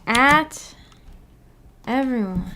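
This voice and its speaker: Woman streamer, slightly high voice. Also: animated, drawn-out words, bright, forceful tone, a little hiss or hum. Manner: giggly, hesitant, repeats words. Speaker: streamer hosting a book club discussion. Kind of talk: casual livestream chat, reading and answering chat.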